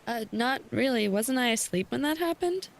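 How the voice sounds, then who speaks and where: A woman's voice: fairly high pitch, animated, normal speed, vocal fry. An actress playing an anxious, defensive young woman captaining a small boat in an audio drama, hesitant and evasive.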